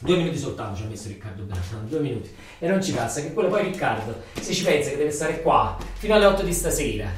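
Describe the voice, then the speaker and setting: A man's voice: medium pitch, big pitch swings, fast talker, few pauses. A man with a Neapolitan accent delivers a theatrical monologue in a colloquial, emphatic, repetitive storytelling manner. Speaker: stage monologue actor. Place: roomy interior, far from microphone. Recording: distant echoey room, faint noise.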